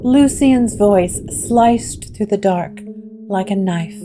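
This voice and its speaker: A woman narrating a gothic drama in a slow, hushed, dramatic way. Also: mid-range voice, music in the background.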